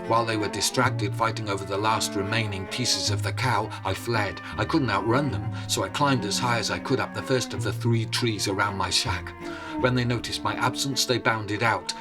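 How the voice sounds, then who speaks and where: A man telling stories in a dramatic, theatrical style with deliberate phrasing. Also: lowish voice. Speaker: storyteller. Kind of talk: dramatic oral storytelling. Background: prominent music.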